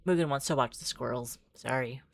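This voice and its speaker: A woman's voice: a bass voice, fairly quick, gravelly. A woman streaming a visual novel, moving between casual, rambling commentary and dramatic read-aloud narration.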